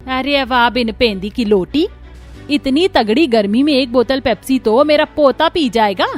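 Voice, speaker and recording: A woman voicing a sharp-tongued older mother-in-law who nags and scolds in a cartoon story. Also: sits high, a little slow, faint music behind. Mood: angry. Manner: nagging, idiom-heavy scolding.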